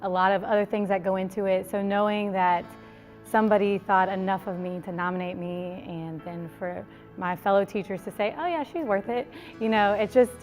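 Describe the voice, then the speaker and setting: A woman's voice: medium pitch, steady tone, moderate pace, darkish tone. Proud, heartfelt woman teacher over faint music. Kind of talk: interview testimony.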